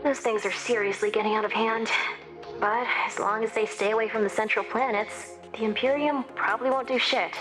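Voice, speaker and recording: A woman's voice: a little high, fairly even intonation, brisk, music in the background, lightly acted, poor audio. A woman playing a bossy, no-nonsense mission officer in a video game, with a sardonic, blunt and casual delivery. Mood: casual, wry. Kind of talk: scripted video game dialogue.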